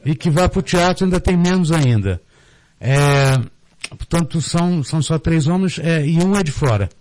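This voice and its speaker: A middle-aged man with a Brazilian Portuguese accent, explaining in a casual radio interview in a halting way, often repeating words. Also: a little high, a little mellow. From Brazil, born in Rio de Janeiro, raised in Recife. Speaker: guest, storyteller and theatre group director. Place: radio studio, dry close sound.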